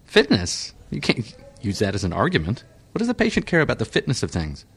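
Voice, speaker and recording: A man's voice: lowish voice, wildly swooping pitch, fairly quick, gravelly, fairly bright tone, breathy edge, pitched above the performer's own voice, heavily acted. A man reading an audiobook, voicing a blunt, dismissive male medical engineer who speaks almost brutally.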